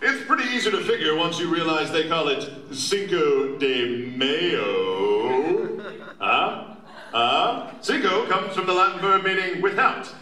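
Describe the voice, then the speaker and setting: A man plays a cocksure, earnest sleuth from an old-time comic radio show, full of corny slang. His pitch sits high and bounces around animatedly. He is heard from afar in an echoey hall with an audience. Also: animated, a little slow, sharp tone. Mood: cheery explaining. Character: cheery, cocksure amateur sleuth leading his sidekicks. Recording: echoey convention hall, distant mic, audience noise.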